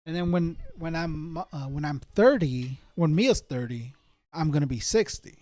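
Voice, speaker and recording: Mellow, higher-voiced man chatting casually. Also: dry recording. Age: around thirty. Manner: rambling, repeats himself, slangy. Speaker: live streamer chatting with viewers. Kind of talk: casual chat.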